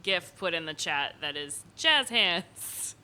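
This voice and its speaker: A woman's voice: on the low side, sing-song, slow pace, bright, forceful tone, clean tone. A woman hosting a charity stream, mostly reacting with short exclamations. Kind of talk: casual chat.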